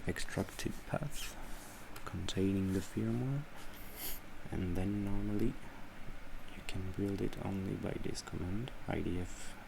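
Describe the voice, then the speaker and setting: A man with a French accent, a native French speaker, giving a hesitant, unscripted software tutorial with frequent pauses. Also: low-pitched, gravelly, background noise. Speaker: tutorial presenter.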